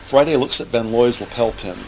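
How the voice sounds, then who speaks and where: A man's voice: mid-range voice, brisk, a little mellow, slight background noise, poor audio. A man reading scripted, present-tense narration for an audio drama as its narrator. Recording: music bed under the voice. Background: music.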